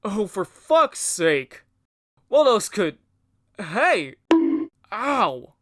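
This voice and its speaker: A man plays a bitter, hot-headed young man in a horror audio drama. He speaks slowly with big swings in pitch, sardonic and exasperated, recorded very dry. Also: sing-song, a bit bright, no echo, exaggerated voice. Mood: angry, fed up. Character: Rich, angry foul-mouthed grieving young man.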